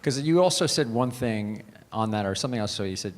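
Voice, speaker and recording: A man's voice: fairly low pitch, lively intonation, brisk. A man moderating an onstage Q&A, asking long, chatty questions with fillers. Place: large conference hall. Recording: echoey conference hall with audience.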